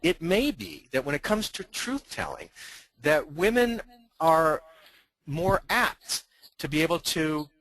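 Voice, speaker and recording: A man's voice: a little high, fairly bright tone, poor audio. A man who runs leadership workshops, speaking earnestly and emphatically on a webinar panel.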